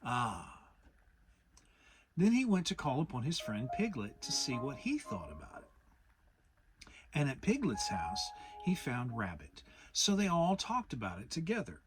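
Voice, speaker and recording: A man's voice: a little high, huge pitch swings, normal speed, music in the background, poor audio. A man reading a children's story as a dramatic audiobook narration. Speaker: audiobook reader.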